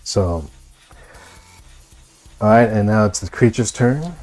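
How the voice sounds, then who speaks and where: A man acting as game master, improvising narration of a tabletop horror game in halting, repetitive phrasing. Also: fairly low pitch, animated, darkish tone, soft background music.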